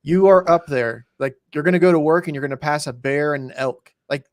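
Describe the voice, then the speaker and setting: A man's voice: fairly high pitch, a little fast, no room sound. A man co-hosting a podcast, explaining things in casual, colloquial talk. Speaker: podcast co-host. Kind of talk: casual chat, explaining news.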